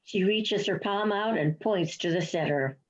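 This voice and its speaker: A woman narrates a script's stage directions at a very unhurried pace. Her voice sits fairly low, and the recording is a little dull. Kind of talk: scripted narration for play reading.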